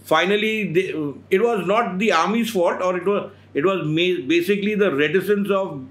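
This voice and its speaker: An older man with an Indian English accent, co-hosting a podcast, talking in a measured, digressive, opinionated way. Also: sits high, a bit bright. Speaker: podcast co-host, editor at large. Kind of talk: casual chat. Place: studio with bookshelves and car photos.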